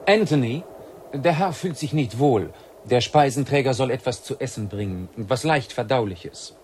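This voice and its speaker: A man's voice: medium pitch, animated, normal speed, a little mellow, slight background noise, lightly acted. An actor playing a suave, commanding aristocrat in his thirties in a radio play, with a polite upper-class manner. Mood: breezy, commanding. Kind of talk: 1970s German radio play acting.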